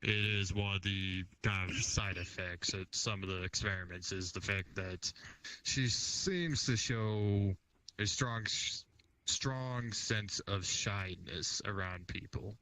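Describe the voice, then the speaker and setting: A man playing a polite, careful companion in an online roleplay, speaking in a halting, deliberate way. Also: on the low side, pushed and bright, low-quality recording. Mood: careful, explanatory. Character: polite, careful male companion explaining another's shyness.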